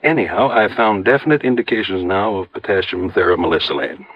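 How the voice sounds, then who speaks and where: A man's voice: deep voice, a little fast, close to an everyday voice. A man playing an earnest, precise doctor who turns medical sleuth in a 1950s radio drama. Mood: clinical, earnest. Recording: dry, dull old radio recording.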